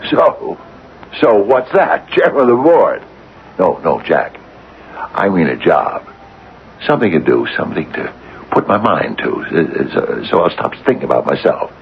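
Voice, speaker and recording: An actor playing an anxious, hesitant retired businessman of nearly sixty in a radio drama, with a deep, gravelly voice. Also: sing-song, heavily acted. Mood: anxious, pleading. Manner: hesitant, halting, stammering. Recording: dull, noisy vintage radio recording.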